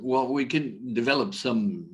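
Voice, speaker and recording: A man's voice: mid-range voice, a little slow. A middle-aged man giving a casual, rambling talk on a video call at a conference, with many fillers and restarts. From Algeria. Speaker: coach and conference speaker.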